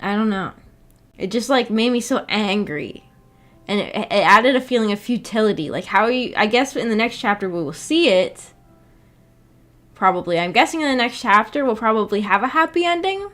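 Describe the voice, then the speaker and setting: A woman's voice: fairly high pitch, lively intonation, moderate pace, fairly bright tone, faint music behind. A woman hosting a livestream in a casual, rambling way, hesitant as she thinks aloud. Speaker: livestream host.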